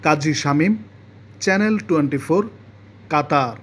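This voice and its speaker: A male news reporter reading a scripted report in a measured, formal way. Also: on the high side, faint music behind.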